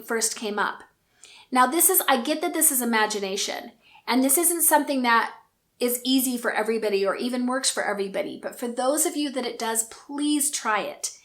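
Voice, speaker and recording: A woman in her forties telling a personal story in an earnest, reflective and gentle way. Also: a little high. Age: 40s. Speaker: speaker and coach.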